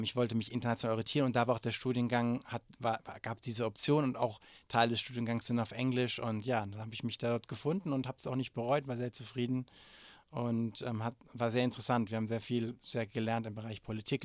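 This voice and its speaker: Man in his 40s from germany, interview guest, halting with lots of fillers, flat even delivery, dry sound. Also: mid-range voice, no echo. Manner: hesitant, many filler sounds and restarts. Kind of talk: conversational interview answers.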